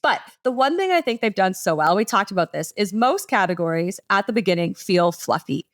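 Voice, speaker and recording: A woman's voice: mid-range voice, expressive, brisk. A woman giving a conversational business presentation as a podcast panelist, explaining things with enthusiasm in casual phrasing.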